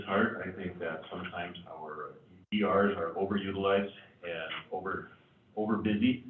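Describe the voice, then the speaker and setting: A deep-voiced man chairs a council meeting, reading out motions and calling votes. The sound is thin and phone-like, caught from a distance in a roomy chamber. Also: a little hiss or hum. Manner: procedural, brisk. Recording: tinny, echoey chamber from far off.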